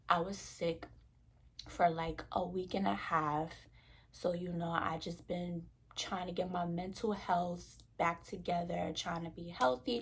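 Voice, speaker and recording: A woman's voice: fairly low pitch, vocal fry. A woman, talking frankly and passionately to the camera in a loose, conversational vlog. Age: 20s. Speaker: vlogger. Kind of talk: casual talk to camera.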